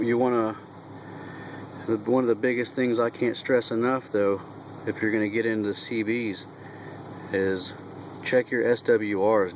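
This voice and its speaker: A man giving a casual, folksy how-to walkthrough in and around a vehicle, talking in colloquial speech to a handheld camera. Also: fairly low pitch. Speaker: truck driver and hobbyist demonstrator. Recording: noisy vehicle and engine hum.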